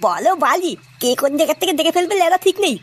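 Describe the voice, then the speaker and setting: A woman's voice: very high voice, lively intonation, fast. A woman playing a timid, hesitant young male sidekick thief in a comic cartoon. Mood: nervous, reluctant. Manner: hesitant, worried. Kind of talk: crude comic cartoon dialogue.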